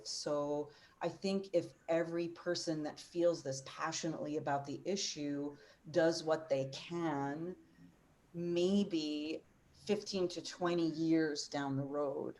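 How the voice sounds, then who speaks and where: Woman, 40s, low pitch, passionate and emphatic, panelist on a video call. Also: fairly even intonation, takes it slowly, darkish tone. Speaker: opera singer and voice teacher on a panel. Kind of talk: panel discussion.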